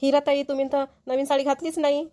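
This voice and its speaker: A woman's voice: high-pitched, little pitch movement, quick pace, mellow, lightly acted. A woman voicing a fussy village woman in a cartoon comedy. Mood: reproachful.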